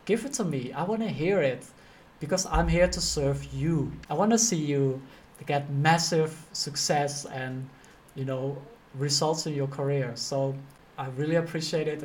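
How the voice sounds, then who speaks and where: A man's voice: on the high side, darkish tone, a little hiss or hum. A man with a Dutch accent speaking informally and directly as a career coach teaching a motivational online course. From Netherlands.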